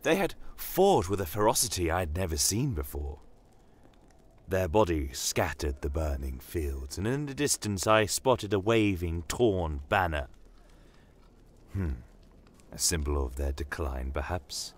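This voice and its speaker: A man performs a stern fantasy war commander recounting his tale. The voice is very low and slow, formal and brooding, with proud authority in a storytelling style. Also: sing-song, slightly rough, full character voice. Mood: brooding, measured. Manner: measured, deliberate, formal, brooding. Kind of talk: first-person fantasy audio storytelling.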